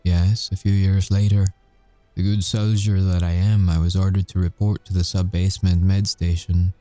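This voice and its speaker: Casting: male actor for cocky, haunted werewolf soldier in audio roleplay. Deep, very soft-toned voice, wry measured storytelling. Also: flat delivery, soft background music, natural voice. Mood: bitter, weary. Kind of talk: audio roleplay monologue, ASMR.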